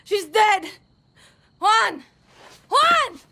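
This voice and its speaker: A woman's voice: very high voice, very animated, extremely slow, gravelly, pushed and bright, slight background noise, exaggerated voice. An actress playing a blunt, defiant female guerrilla in a game cutscene. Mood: frantic distress. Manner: blunt, emotional. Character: defiant, foul-mouthed young female guerrilla fighter. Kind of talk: dramatic game cutscene acting.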